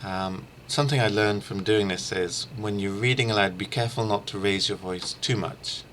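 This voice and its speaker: Man, language teacher, very low pitch, halting informal explanation, some background noise. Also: fairly bright tone. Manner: halting, thinking aloud. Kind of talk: informal instructional screencast. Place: small room lined with shelves, indoors.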